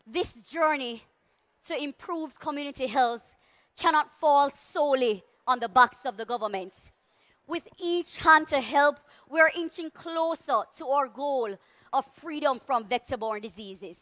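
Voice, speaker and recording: A woman's voice: sits high, pushed and bright. A Jamaican woman giving a passionate motivational talk at a conference, with a big voice, leading the audience in call-and-response. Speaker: conference speaker. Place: large conference hall stage.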